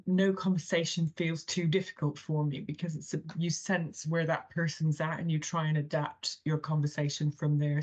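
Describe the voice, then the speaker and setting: A woman's voice: deep voice, dull audio. A woman in her forties with a mixed American-English accent, answering interview questions thoughtfully with frequent ums and restarts. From Thailand. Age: 40s. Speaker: guest, healthcare chief executive.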